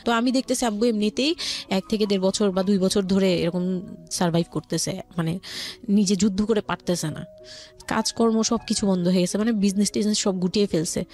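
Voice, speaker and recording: A woman's voice: mid-range voice, steady tone, brisk, darkish tone. A woman with a Bangladeshi accent telling her personal story conversationally as a guest, often voicing what relatives said. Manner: quotes relatives' words as she narrates.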